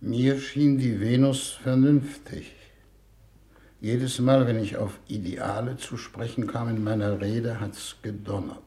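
A man's voice: medium pitch, steady tone, slow pace, dark tone, close to an everyday voice. An actor playing a formal, ponderous, idealistic foreign minister in a 1950s German radio play, hesitant in his speechmaking. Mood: musing, impressed. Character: deliberate, idealistic male foreign minister leading a diplomatic mission. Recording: roomy 1950s radio recording, background hiss.

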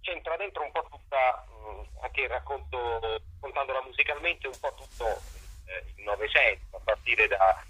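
A man's voice: mid-range voice, moderate pace, sharp tone, husky, low-quality recording. A man speaking as a guest in a casual interview, searching for words and often repeating them. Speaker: theatre artist, interview guest.

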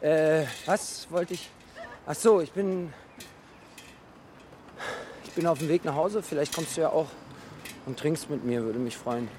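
An actor playing a harried, hot-tempered cyclist on the phone, switching between yelling and muttering in a radio drama. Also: medium pitch, unhurried, long pauses, slight background noise, close to an everyday voice. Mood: distracted, tender. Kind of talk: naturalistic radio drama acting.

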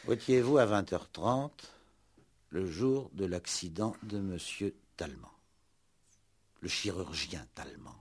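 A man's voice: low voice, expressive, takes it slowly, breathy edge, exaggerated voice. A man playing a wry, insistent police commissioner in a 1960s French radio play, probing with mock politeness and pointed repetition. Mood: ironic, probing.

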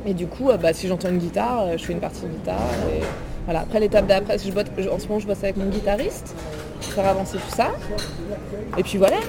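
A woman in her late twenties from France, a musician chatting in a casual interview, talking with many fillers and pauses. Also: mid-range voice, fairly even intonation, over music. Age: late 20s. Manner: hesitant, many fillers, laughs easily. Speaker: guest musician.

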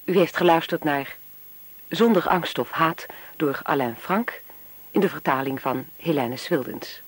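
A man's voice: fairly high pitch, measured pace, slightly rough, no echo. A man reading scripted radio play credits as the announcer.

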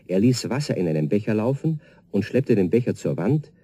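A man's voice: on the low side, sing-song, fairly quick, warm tone, slightly rough, dry recording. A man narrating a children's radio play as a scripted storyteller. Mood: lively storytelling. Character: male storyteller of a children's tale.

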